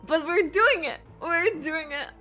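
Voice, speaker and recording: A woman giving casual, excitable, rambling reaction commentary while playing a video game. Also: very high voice, unhurried, soft background music.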